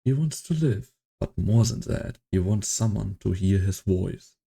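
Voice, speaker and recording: A man's voice: lowish voice, lively intonation, unhurried, gravelly, warm tone, breathy edge, full character voice. A man playing a solemn storyteller, giving scripted dramatic narration for a science-fiction game dub.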